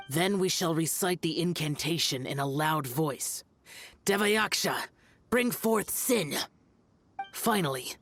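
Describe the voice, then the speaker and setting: A woman playing a solemn, stern ritual master of arcane arts in a video game, speaking in a formal, grave, measured way. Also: low voice. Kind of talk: video game character acting.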